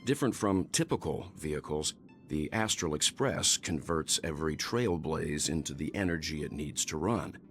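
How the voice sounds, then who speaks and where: An actor playing a wise, measured older mentor and navigator in a video game, speaking thoughtfully and articulately. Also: male voice, rumbling low, expressive. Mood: grave, explaining.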